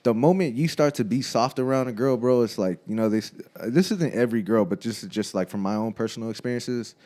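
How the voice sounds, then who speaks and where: A man's voice: medium pitch, fairly quick, darkish tone. A man in his thirties co-hosting a podcast, chatting casually in a laid-back, slangy way, often saying bro and laughing. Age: 30s. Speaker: podcast co-host. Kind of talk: casual chat.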